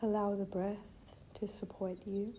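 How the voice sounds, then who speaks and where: A woman teaching guided meditation in a gentle, measured manner, leaving long pauses between phrases. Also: mid-range voice, level tone. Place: retreat venue. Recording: faint background ambient noise.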